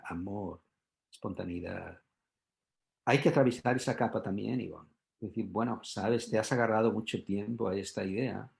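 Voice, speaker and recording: A man's voice: fairly low pitch, creaky, darkish tone. A man giving an explanatory, teacherly talk, often checking in with short rhetorical questions. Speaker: guest expert, psychotherapy trainer and author.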